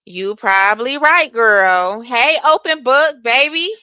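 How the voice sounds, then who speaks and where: A soft-voiced woman with hosts a live chat, her tone bright and forceful. She sits close to a dry microphone and answers viewers in an animated way, cursing freely. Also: on the high side, slow, clean tone, no room sound. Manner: animated, talks back to chat, curses freely. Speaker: livestream host.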